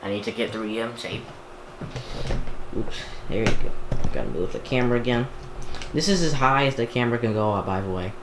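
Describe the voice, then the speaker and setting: A man casually talking through an unboxing and setup as he works, halting and half muttering to himself. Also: mid-range voice. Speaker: YouTuber unboxing and setting up a radio. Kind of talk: casual unboxing narration while handling items. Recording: echoey room with clear background noise.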